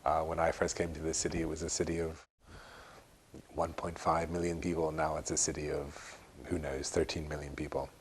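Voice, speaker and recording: A man's voice: very deep voice, level tone, a little fast, slight background noise. An older man speaking reflectively in an interview, hesitant and rambling, often correcting himself. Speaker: interviewee, long-time resident.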